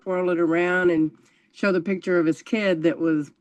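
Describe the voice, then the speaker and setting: A friendly, chatty questioner puts questions to a visiting musician in a casual club meeting. The voice sits at a middle pitch and sounds close and dry, with no room echo. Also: fairly even intonation, normal speed. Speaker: meeting member asking questions. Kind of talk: casual interview chat.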